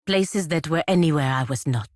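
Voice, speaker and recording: A woman's voice: low voice, normal speed, darkish tone, no room sound, clean studio sound, lightly acted. A woman playing a proud, bitter, honor-bound godlike warrior in a fantasy video game, with stern, clipped, formal phrasing. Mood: quiet, reflective.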